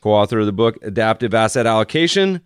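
A man's voice: lowish voice, lively intonation, quick pace, clear, resonant tone, no room sound, clean studio sound. A man hosting a podcast, chatting casually and joking with his guest in an upbeat way. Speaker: podcast host. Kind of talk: casual interview chat.

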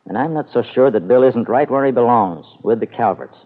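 A man's voice: medium pitch, animated, brisk, lightly acted. An actor playing a blunt, protective, skeptical man in a vintage radio soap, speaking with exasperated emphasis. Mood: bitter disapproval. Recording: tinny phone-like old radio recording.

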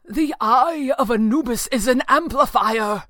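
Woman voicing a grave, very slow-speaking doom-warning man. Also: on the low side, animated, no echo, studio quality. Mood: desperate, urgent. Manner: drawn-out, solemn, desperate pleading. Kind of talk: dramatized pulp adventure audiobook.

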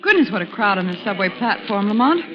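A woman's voice: medium pitch, very animated, quick pace, muffled recording, loud music behind the voice, natural voice. An actress playing an anxious, refined female companion in 1940s radio drama, emotive and melodramatic. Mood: light, evasive. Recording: very noisy, echoey old radio recording.

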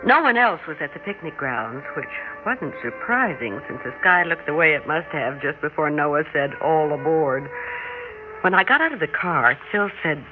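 An actress with an American accent playing a sly, self-assured suburban wife with a secret gift in a 1960s radio drama. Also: female voice, low voice, animated, moderate pace, narrow, tinny audio. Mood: composed, confiding. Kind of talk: 1960s radio drama acting and first-person narration. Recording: thin, band-limited old radio, music behind.

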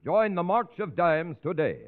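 A man's voice: high voice, very animated, a little slow. An American man reading scripted announcements in 1940s radio style. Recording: tinny, narrow-band 1940s radio broadcast.

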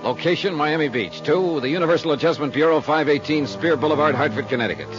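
An actor playing a tough, wry insurance investigator and narrator in a 1950s American radio detective drama, dry and clipped. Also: male voice, a little high, fast, natural voice. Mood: brisk narration. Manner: dry, clipped, hard-boiled. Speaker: actor in lead role, narrator. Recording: dull old radio recording, faint music.

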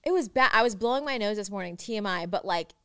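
A woman co-hosting a podcast in casual chat, congested and short on sleep, chatty and quick to laugh. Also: medium pitch, lively intonation, fairly bright tone. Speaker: podcast co-host.

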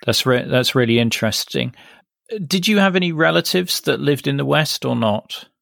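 A man's voice: mid-range voice, expressive, moderate pace, gravelly, fairly bright tone, no room sound, studio quality. A man hosting a podcast, reading scripted introductions and putting questions to a guest as interviewer.

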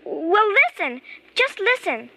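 A woman's voice: extremely high pitch, sing-song, slow pace, vocal fry, pushed and bright, exaggerated voice. A female actor playing an earnest, stubborn little girl in an urgent, pleading manner, in old-time radio drama style. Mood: insistent. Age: child. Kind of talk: 1950s radio drama acting. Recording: noisy old radio broadcast recording.

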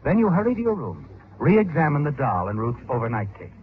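An actor playing a knowing, insinuating narrator of an old radio mystery, telling the story in the second person. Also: male voice, fairly high pitch, huge pitch swings, fairly quick, slight background noise. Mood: knowing, suspenseful. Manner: dramatic, insinuating. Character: knowing, insinuating male narrator goading the guilty protagonist. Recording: tinny old radio recording.

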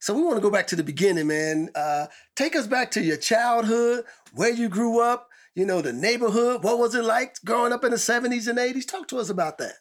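A man from Chicago hosting a podcast, introducing a guest in an upbeat way and asking relaxed questions. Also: very high-pitched, fairly quick, hardly any pauses. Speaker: podcast host. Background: hip hop music.

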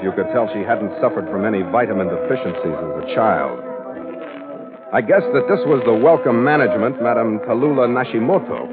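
A man's voice: mid-range voice, lightly acted. Guy doing a tough sarcastic skipper from old radio noir, wry, tinny recording, music behind. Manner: wry, clipped. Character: hard-boiled, sardonic seafaring adventurer, a grown man. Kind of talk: 1940s radio acting, first-person narration.

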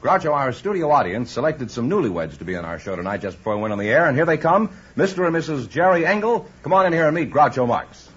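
A man's voice: a little high, big pitch swings, quick pace, hardly any pauses, slightly rough. An American man announcing a 1950s radio show in scripted style. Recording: dull old radio recording, loud music.

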